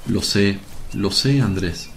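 A man's voice: lowish voice, slow pace, gravelly, a little hiss or hum. A man playing a serene, fatherly religious teacher, solemn and reassuring, in a radio drama.

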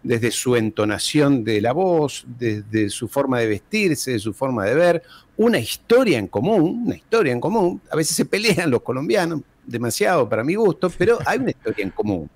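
A man talks as a guest historian over a video call. His pitch rises and falls a great deal, and he hammers his points home with rhetorical questions and repeated phrases. The sound is dry and close, from a large desk microphone in a small room at home. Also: big pitch swings, normal speed, no echo.

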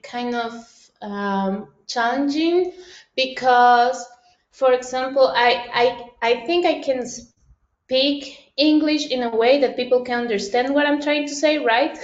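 Hesitant Costa Rican woman on a video call. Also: on the high side. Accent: Costa Rican Spanish. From Costa Rica. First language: Spanish. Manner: hesitant, many restarts and fillers, laughs often. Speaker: participant in online session. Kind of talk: personal sharing in a video call. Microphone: laptop video call. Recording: roomy, dull video-call audio.

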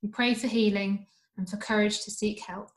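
A woman's voice: mid-range voice, little pitch movement, moderate pace. Young woman praying in echoey room. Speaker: church member leading prayer. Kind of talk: read-out intercession. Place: living room, on a sofa. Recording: echoey living room webcam recording.

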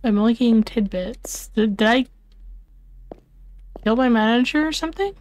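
Female streamer, higher-pitched voice, casual game commentary, short wary muttered reactions. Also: unhurried, a little mellow.

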